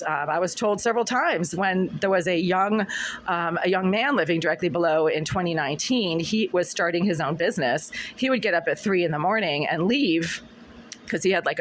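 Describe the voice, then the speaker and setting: A middle-aged woman with a scratchy, husky voice, talking insistently and repetitively to a phone camera in her apartment. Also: fairly low pitch, a bit bright. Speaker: vlogger. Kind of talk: monologue to camera. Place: apartment room.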